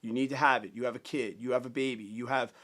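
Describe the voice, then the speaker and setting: A man's voice: mid-range voice, fairly even intonation, fast, fairly bright tone. A man in his thirties giving casual, earnest personal finance advice straight to the camera, plainspoken and given to repeating words as he restarts. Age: 30s.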